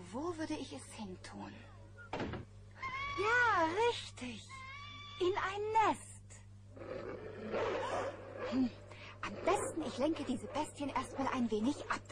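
An actress playing a spirited, plucky young princess in a German children's cartoon audio play. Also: female voice, high voice, wildly swooping pitch, slow pace, long pauses, full character voice. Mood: scheming. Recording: very noisy old cassette recording.

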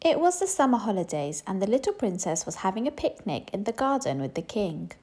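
A woman's voice: mid-range voice, very animated, a little fast, darkish tone, breathy edge. A woman reading a children's storybook aloud expressively, speaking directly to young listeners. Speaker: storybook reader. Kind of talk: children's read-aloud narration.

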